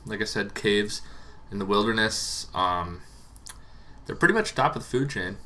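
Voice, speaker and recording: A man hosting a tabletop roleplaying show, explaining casually and off the cuff in a rambling, halting way that repeats words. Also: lowish voice, lively intonation, pushed and bright. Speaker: host of a tabletop roleplaying monster lore show. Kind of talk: casual unscripted explainer to camera.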